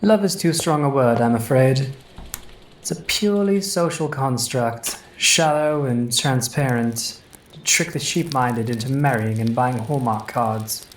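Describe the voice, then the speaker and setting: An actor playing an obsessive, pompous grave robber in a horror audio drama, speaking in a lofty, theatrical, formal way. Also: male voice, mid-range voice, a little slow, slight background noise, natural voice, rough recording. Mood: aloof, amused.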